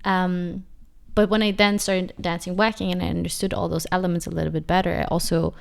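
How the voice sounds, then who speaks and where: Soft-spoken young woman, thoughtful. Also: lowish voice, little pitch movement, fairly quick, gravelly. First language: not English. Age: 20s. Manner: reflective, many fillers and restarts. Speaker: dancer and guest. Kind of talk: casual interview.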